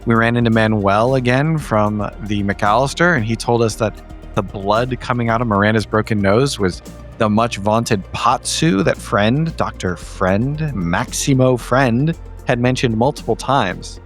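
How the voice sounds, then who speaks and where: Man, mid-pitched voice, droll, wordy, casual comedic game recap, faint music underneath. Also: a little slow. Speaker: tabletop game player.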